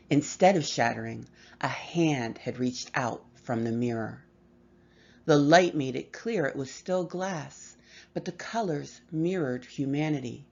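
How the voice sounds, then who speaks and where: A woman reading a horror story aloud with measured, expressive delivery and dramatic pauses. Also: a bass voice, gravelly, slightly rough, lo-fi sound. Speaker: story reader.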